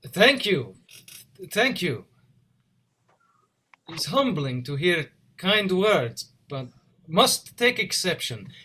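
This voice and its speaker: A man acts out a proud immigrant visionary. The voice sits high and bright, with formal, declamatory stage oratory that swings widely in pitch. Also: sing-song, extremely slow, long pauses, husky, exaggerated voice. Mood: proud, aggrieved. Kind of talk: theatrical speech in a play reading.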